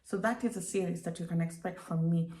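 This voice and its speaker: A woman's voice: on the low side, fast, mellow. Woman, political commentary video, rhetorical questions, emphatic, echoey room. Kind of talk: commentary to camera.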